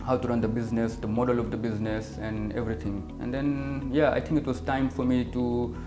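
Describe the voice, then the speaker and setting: A Kenyan man in his thirties, an optician and business owner, answering interview questions in a measured way, with many fillers. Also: medium pitch, little pitch movement. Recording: echoey room with music behind.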